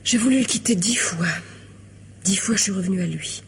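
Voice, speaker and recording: An actress in a French radio mystery drama playing a weary, lovelorn young woman, wistful and hesitant, confessing in a hushed way. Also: mid-range voice, fairly quick, gravelly, slight background noise, close to an everyday voice. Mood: wistful, bitter.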